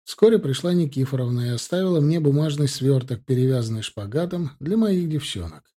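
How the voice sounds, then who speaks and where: A man's voice: medium pitch, expressive, fairly quick, dark tone. A man reading a first-person story aloud as scripted audiobook narration. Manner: acts out dialogue with lifted, livelier delivery.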